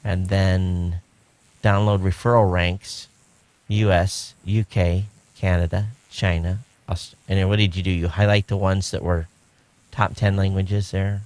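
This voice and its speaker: Low-voiced man chatting on a podcast. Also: measured pace, no echo. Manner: relaxed, rambling with frequent fillers. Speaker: podcast co-host. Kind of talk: casual chat.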